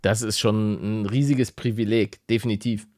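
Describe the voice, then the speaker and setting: A man's voice: lowish voice, steady tone, moderate pace, a little mellow, no room sound, clean studio sound. A man co-hosting a podcast in casual, slangy chat with joking banter. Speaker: podcast co-host.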